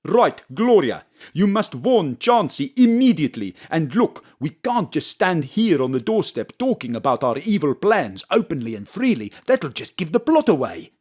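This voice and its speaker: A man's voice: fairly high pitch, big pitch swings, normal speed, a little mellow. A man playing an eccentric scheming millionaire villain with an Afrikaans accent in a comic audio drama, delivered hammy and theatrical. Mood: pompous. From Birmingham. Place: home studio.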